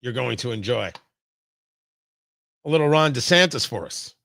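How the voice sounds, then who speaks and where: A man's voice: medium pitch, lively intonation, normal speed, creaky, bright, forceful tone, no room sound. A man hosting a live talk show, chatting casually with viewers in a playful, rambling way full of asides and mock indignation.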